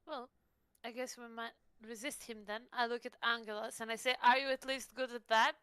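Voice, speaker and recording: A woman's voice: fairly high pitch, flat delivery, a little fast, sharp tone, clean tone, dry recording. A woman chatting casually in character as a player in a tabletop game, hesitant and playful.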